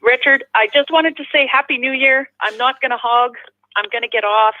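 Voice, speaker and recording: A woman's voice: high voice, brisk. Over a narrow, tinny telephone line, a mature woman chats as a caller to a show. She is polite and talkative, wandering through her points in an informal way. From Canada, born in the Netherlands. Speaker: phone-in caller. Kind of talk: casual chat.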